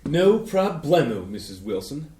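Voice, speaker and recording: A man reading the part of a smooth-talking editor in a staged play reading. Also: fairly high pitch, a little slow. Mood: glib. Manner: smooth salesman patter, animated. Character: glib male cookbook editor, eager to please. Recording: roomy stage sound.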